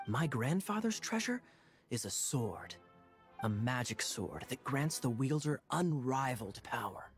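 A man voicing a sly young knight captain who speaks in a smooth, theatrical, playfully mocking way. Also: mid-range voice, big pitch swings, unhurried, soft background music. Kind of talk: game cutscene dialogue.

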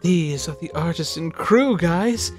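Commentary narrator, middle register, unhurried read with casual asides, light music bed. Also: medium pitch, lively intonation. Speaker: video narrator presenting episode facts.

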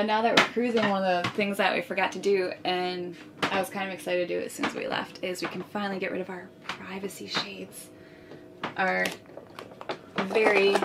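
A young woman vlogging about sailing from the deck of her boat, relaxed and chatty, speaking to a camera held at arm's length. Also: mid-range voice. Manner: relaxed, chatty, laughs easily. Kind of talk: casual vlog narration. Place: outdoors on a sailboat deck. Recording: steady boat and wind noise.

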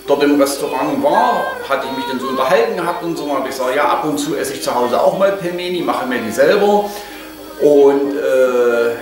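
An older man chatting casually through a home cooking vlog, full of hesitations, on a lapel mic in his kitchen. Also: a little high. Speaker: vlogger. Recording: echoey kitchen, mic at a distance, music behind.

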